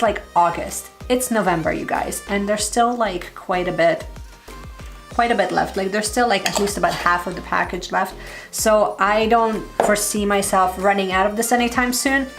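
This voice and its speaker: A woman in her thirties, slightly hoarse, chatting upbeat about favorite products as a lifestyle vlogger. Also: mid-range voice. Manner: chatty, upbeat, heavy use of "like". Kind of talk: casual product review.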